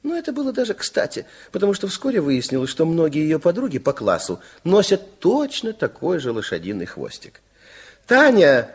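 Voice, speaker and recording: Выразительного сказочника, голос высоковатый. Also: male voice, fairly high pitch, moderate pace, slightly rough, full character voice. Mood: kindly. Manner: theatrical, expressive. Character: expressive, kindly storyteller of a children's fairytale. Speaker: narrator. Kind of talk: 1960s Soviet radio play narration.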